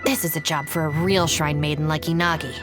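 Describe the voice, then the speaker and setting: Woman playing a low-toned, practical young woman. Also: steady tone, brisk, music in the background, natural voice. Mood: frank, reflective. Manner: matter-of-fact, articulate, cool-headed. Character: composed, practical, blunt young woman helping at a shrine. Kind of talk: video game voice acting.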